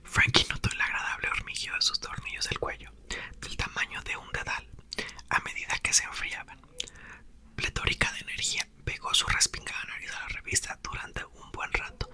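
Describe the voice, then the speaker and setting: Whispering man reading ASMR storybook. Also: sharp tone. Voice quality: breathy, unvoiced whisper. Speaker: ASMR creator reading a book aloud.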